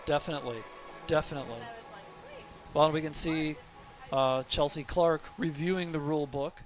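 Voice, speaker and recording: Music is clearly audible behind a man calling a live game. His voice sits a little high for a man, and he talks in a deliberate, questioning way. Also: fairly even intonation. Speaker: sports broadcast commentator. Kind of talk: live play commentary.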